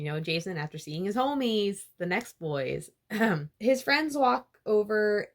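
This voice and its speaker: A young woman vlogger retelling a novel chapter by chapter in a chatty, giggly way, with lots of fillers. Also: medium pitch. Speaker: book-summary vlogger.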